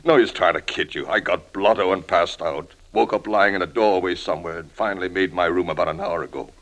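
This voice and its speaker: A washed-up old newspaperman who drinks too much, in an old radio play. His voice is low, and he rambles haltingly, wheedling and pleading with wounded pride and self-pity. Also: a bit bright, rough recording. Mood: sheepish, pleading. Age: older. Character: washed-up alcoholic old crime reporter, self-pitying, wheedling, proud. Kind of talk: 1950s radio drama acting.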